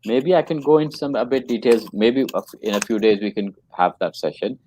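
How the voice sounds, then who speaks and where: A man's voice: medium pitch, a little fast, dark tone, slight background noise, poor audio. A man working as a trainer, telling a story in a casual conversation. He speaks earnestly and often repeats his phrases. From Pakistan. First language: English.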